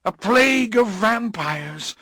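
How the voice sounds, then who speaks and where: A man voicing a desperate, drunken gentleman, pleading and bursting out in frantic, high-pitched cries. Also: lively intonation, slow pace, slightly rough, no room sound, clean studio sound, heavily acted. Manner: pleading, rising to frantic outbursts.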